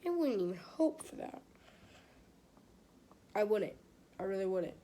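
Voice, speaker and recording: A woman reads aloud casually from a children's book, with some noise and clicking in the recording. Her reading stumbles here and there, and she slips into informal, exclamatory commentary. Her pitch sits in the middle range for a woman. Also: sing-song, measured pace, warm tone. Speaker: amateur reader of a children's book. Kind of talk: casual read-aloud with chatty asides. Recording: clearly noisy home recording with clicks.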